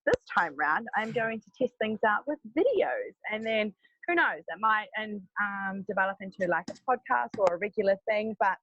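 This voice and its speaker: A woman's voice: mid-range voice, lively intonation, moderate pace, a bit bright, dull audio. A woman hosting a casual interview, friendly and a little hesitant, with many "um"s. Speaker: interviewer, host.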